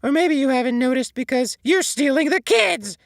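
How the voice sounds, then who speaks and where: A man voicing a whiny, frantic male sea sponge who squeaks and wails in a storybook reading. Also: lively intonation, moderate pace, vocal fry, dry recording, studio quality, heavily acted. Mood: accusing. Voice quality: squeaky, shrill. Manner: wailing, near-hysterical outbursts.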